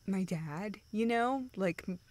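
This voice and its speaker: A woman playing a dejected, self-doubting young woman who confides haltingly in a friend, in an intimate, naturalistic audio roleplay. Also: medium pitch, expressive, a little mellow. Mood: downcast, halting. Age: young adult. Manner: halting, hesitant, self-deprecating.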